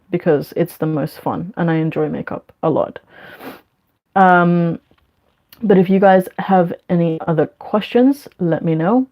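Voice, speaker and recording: A woman's voice: on the low side, mellow. A young woman in her twenties chatting casually on a livestream, rambling with lots of ums and likes, whose first language is Bangla. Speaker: YouTuber answering live chat.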